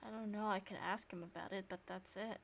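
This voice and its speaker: A woman streamer reading visual novel narration and dialogue aloud, with sarcastic, expressive reactions. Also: medium pitch, a little fast, slight background noise.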